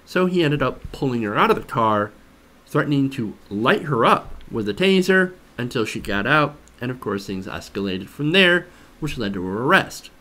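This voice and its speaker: Man, political commentary host, animated intonation, conversational, skeptical, emphatic, rhetorical questions. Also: medium pitch, moderate pace.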